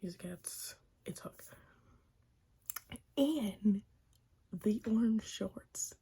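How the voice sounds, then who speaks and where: A woman's voice: medium pitch, extremely slow, a little hiss or hum. A young woman whispering a chatty recap of a wrestling show, gushing like a fan and giggling often. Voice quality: soft whisper, frequent giggles.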